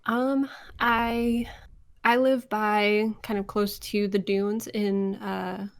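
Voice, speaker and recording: An American woman with some vocal fry, talking casually as an interview guest and telling stories by acting out conversations. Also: mid-range voice, very slow, no echo. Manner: animated storyteller, re-enacts conversations. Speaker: podcast guest, voice actress. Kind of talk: casual interview chat, anecdotes.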